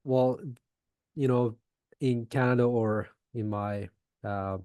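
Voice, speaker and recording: A man's voice: mid-range voice, steady tone, slow, darkish tone, smooth, no room sound. A young man from Iran, a guest in a casual interview, speaking hesitantly with many fillers and restarts.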